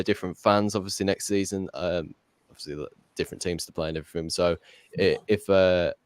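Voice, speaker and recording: Low-voiced chatty man podcasting. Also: a little mellow, dry recording. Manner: rambling, filler-heavy, chatty. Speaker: podcast host. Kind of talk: casual podcast chat.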